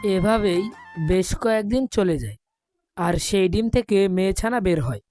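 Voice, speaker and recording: A woman narrates a scripted cartoon story at a middling pitch. She tells it as a storyteller, with faint music underneath. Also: animated, moderate pace, warm tone.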